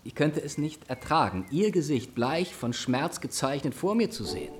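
Smooth flirty gent, lively highish voice, music behind, radio drama. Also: male voice, moderate pace. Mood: coaxing, pleading. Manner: persuasive, theatrical. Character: charming, flirtatious, smooth-talking gentleman. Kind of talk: German radio drama acting.

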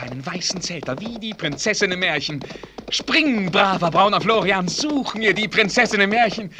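A man's voice: high-pitched, pushed and bright, a little hiss or hum, full character voice. A young man acting an ardent, restless, lovestruck prince in a German radio play, with formal, poetic diction.

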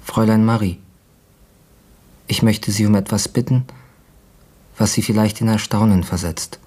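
An actor playing an earnest, courteous male newspaper editor in a German radio play, with formal, measured phrasing. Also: lowish voice, steady tone, a little mellow, slightly rough. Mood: hesitant, tender.

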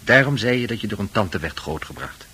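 An actor playing a composed, authoritative psychiatrist explaining things to a patient in a radio play, with a husky voice. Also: male voice, on the low side, animated, pushed and bright, heavily acted. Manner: explanatory, measured.

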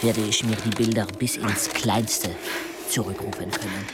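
An actor playing an expedition member who narrates a mountain climb in a reflective, literary way for a radio play. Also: male voice, lowish voice, level tone, a little slow, heavy background noise, natural voice. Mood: reflective, uneasy. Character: adult male expedition member recounting a dizzying mountain climb.

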